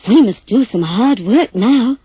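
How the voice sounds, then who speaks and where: A woman voicing a wise, patient little storybook hen who speaks in a polite, knowing, gently coaxing way. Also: a little high, animated, a little slow, husky, no room sound, exaggerated voice. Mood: friendly, coaxing.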